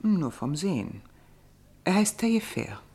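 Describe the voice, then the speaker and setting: An actress playing a cautious, gossipy society lady in a radio play, with a refined, confiding and expressive manner. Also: fairly low pitch, a little slow. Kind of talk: German radio play dialogue.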